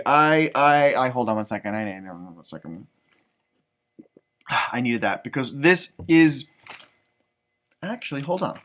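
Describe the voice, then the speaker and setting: A man talking casually and enthusiastically to children during a read-aloud, chatty, stretching words for emphasis like an elementary school teacher. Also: high-pitched. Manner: enthusiastic, chatty, drawn-out emphasis, talking directly to young listeners.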